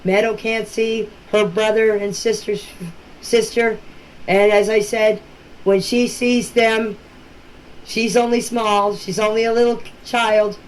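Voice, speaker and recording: A woman's voice: mid-range voice, little pitch movement, takes it slowly. Older lady just talking into her phone, plain spoken, repeats herself, bit of background noise. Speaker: relative speaking about a missing-children case. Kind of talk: informal personal voice-message talk. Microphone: phone voice message. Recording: noisy amateur voice-message recording.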